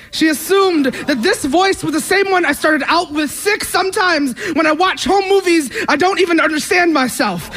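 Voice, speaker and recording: A young man performing a spoken word poem in a measured, rhythmic and emphatic way. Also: very high voice, pushed and bright, rough recording.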